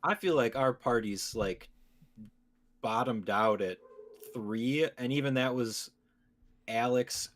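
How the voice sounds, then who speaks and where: A man's voice: mid-range voice, takes it slowly, long pauses, a bit bright. A man hosting a gaming podcast, talking casually and conversationally with his co-hosts. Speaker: podcast host.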